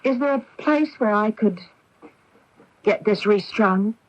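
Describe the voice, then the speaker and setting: A woman's voice: medium pitch, animated, takes it slowly. An actress playing a polite, evasive young woman in a 1980s radio drama, speaking hesitantly and breaking off mid-sentence. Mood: hesitant, apologetic. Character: polite, evasive young woman, newly arrived summer neighbor. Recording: dull, lo-fi 1980s radio broadcast.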